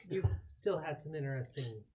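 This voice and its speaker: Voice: mid-range voice, expressive, moderate pace, background noise. A player chatting casually and planning moves during a tabletop roleplaying game. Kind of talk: casual chat.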